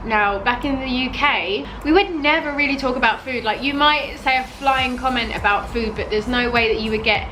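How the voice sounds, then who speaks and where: A young British woman in her twenties, talking to camera in a casual, animated vlog and using "like" a lot. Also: fairly high pitch, poor audio. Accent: British English. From United Kingdom. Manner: chatty, animated, fills with "like". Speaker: vlogger. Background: background music.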